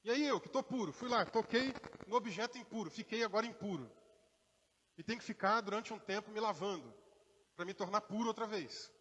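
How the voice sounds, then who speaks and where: A Brazilian man preaches with a mixed accent that leans toward Minas Gerais, his voice sitting fairly high for a man. He talks to the congregation in a relaxed, conversational way and acts out short quotes. The sound carries the long reverb of a church hall. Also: fairly quick, highs cut off, a little hiss or hum. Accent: mixed Brazilian Portuguese, partly Minas Gerais. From Brazil, born in Rio, raised in inland Minas Gerais. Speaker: pastor. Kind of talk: sermon.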